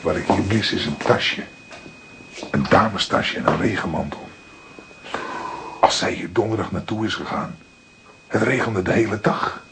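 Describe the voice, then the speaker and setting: An actor playing a young junior police detective with a Dutch accent, questioning and impatient, in a detective drama. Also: male voice, sits low, moderate pace, pauses often. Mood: puzzled, questioning. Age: young adult. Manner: probing, questioning. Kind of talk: dramatic detective dialogue acting. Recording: noisy track with background ambience.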